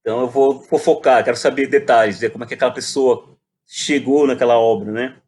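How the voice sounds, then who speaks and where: A man's voice: mid-range voice, steady tone, brisk, darkish tone. A man, telling his story reflectively and animatedly in a conversational interview. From Brazil. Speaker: guest, playwright and poet.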